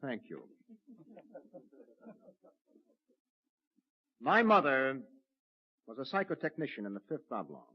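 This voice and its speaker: An actor playing Orrin, a defiant young mutant with a twisted leg, speaking as an impassioned orator in a vintage radio drama. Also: male voice, medium pitch, sing-song, a little fast, breathy edge. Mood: solemn. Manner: impassioned orator, tender when quiet. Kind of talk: 1950s radio drama acting. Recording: muffled vintage radio broadcast.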